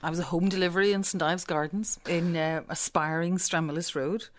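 A low-voiced Belfast woman telling stories of her upbringing in a close, dry radio studio. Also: fairly even intonation, brisk, no room sound. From Belfast, Northern Ireland. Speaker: interview guest, visual artist. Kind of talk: conversational reminiscence.

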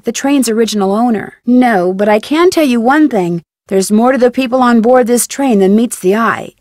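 Actress doing young american girl detective for a video game, friendly n curious, slightly high voice, dry close sound. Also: a little mellow, no room sound, close to an everyday voice. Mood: conversational, confiding. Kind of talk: scripted game dialogue, conversational.

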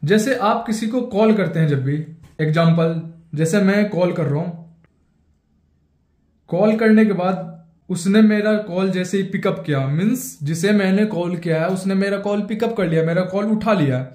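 A man's voice: sits high, moderate pace, a little mellow. A man in his twenties with an Indian accent, explaining phone steps like a tutorial host, repeating himself for emphasis. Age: 20s. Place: small bare indoor room. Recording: echoey bare room.

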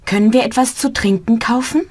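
A woman's voice: a little high, brisk, darkish tone, breathy edge. A woman voicing a polite young language student in a scripted learner dialogue.

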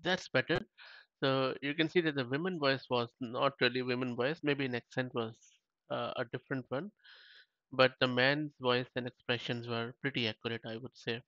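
A man talks through a software demo in a loose, chatty way, restarting his sentences as he thinks aloud. His voice sits at an ordinary pitch. The recording is dull and muffled, with a very dry sound. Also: fairly even intonation, normal speed, no room sound. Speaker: tech tutorial host. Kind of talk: casual screen-share walkthrough.

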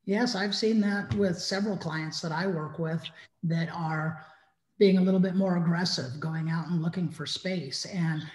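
A man's voice: fairly high pitch, steady tone, moderate pace. Echoey middle-aged man, emphatic. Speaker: restaurant industry consultant, panelist. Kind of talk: panel discussion on video call. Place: living room at home, indoors. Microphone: computer mic on video call. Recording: dull, echoey video-call audio.

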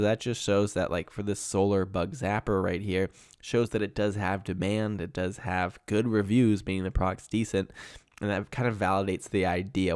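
A man's voice: low-pitched, smooth, dry recording, studio quality. A man in his thirties with a nasal voice from a cold, walking through a screen-share tutorial as an online selling coach. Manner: steady, explanatory walkthrough. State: getting over a cold. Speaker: e-commerce coach.

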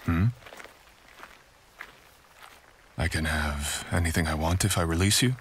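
A man playing a menacing ronin, extremely deep. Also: brisk, slight background noise, lightly acted. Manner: cold, threatening, mocking, partly whispered. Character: dominant, menacing male samurai ronin abductor. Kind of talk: boyfriend ASMR roleplay.

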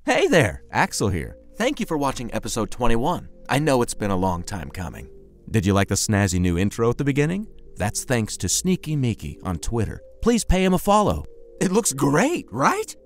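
Extremely animated host addressing viewers. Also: medium pitch, moderate pace, faint music behind. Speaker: host and creator of the series. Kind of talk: upbeat promotional talk to viewers.

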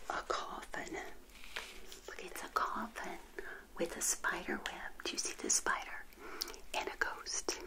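A woman's voice: lowish voice, poor audio. Whispering middle-aged woman as friendly witch. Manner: gentle, unhurried, intimate personal attention. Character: friendly witch hosting a tea party. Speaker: ASMR host playing a friendly witch. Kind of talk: whispered ASMR roleplay. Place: small indoor set, seated. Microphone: close to the mic, facing the camera.

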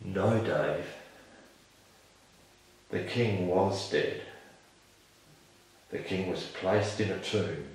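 A man voicing an old grandpa donkey in a children's story, gentle, solemn and given to sighing. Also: deep voice, a little hiss or hum. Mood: gentle, wistful. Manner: slow, sighing, measured. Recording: roomy, echoing, distant microphone.

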